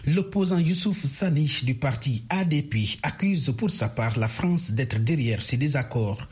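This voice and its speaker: Man reading the news, super raspy voice, formal and even. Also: fairly high pitch, a little slow. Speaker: news correspondent. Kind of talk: scripted news report.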